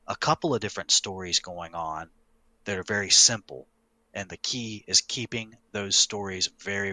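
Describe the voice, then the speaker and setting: A man with a low, forceful voice talks casually on a podcast as a game master. He explains tabletop storytelling in conversational terms, and the recording is dry with little room sound. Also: dry recording, low-quality recording.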